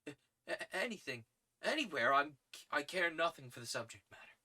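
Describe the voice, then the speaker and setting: A man's voice: fairly high pitch, very animated, moderate pace, bright, forceful tone, voice pushed up, exaggerated voice. A man voicing an earnest young male reporter who pleads eagerly.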